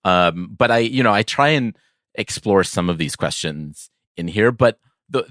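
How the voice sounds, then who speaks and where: A man co-hosting a podcast in casual banter, thinking aloud in a halting, rambling way. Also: on the low side, animated, a bit bright, dry recording, studio quality. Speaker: podcast co-host.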